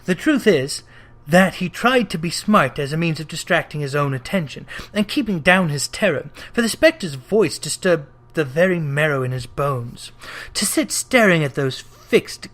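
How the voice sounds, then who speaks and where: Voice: medium pitch, fairly bright tone. A narrator reading a classic tale aloud as dramatic storybook narration. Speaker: narrator, reader.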